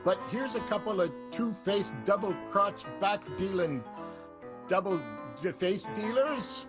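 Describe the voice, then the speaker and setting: A man's voice: high voice, lively intonation, takes it slowly, music in the background. A man hosting and narrating an improvised radio play with a wry, theatrical announcer delivery. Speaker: narrator and host.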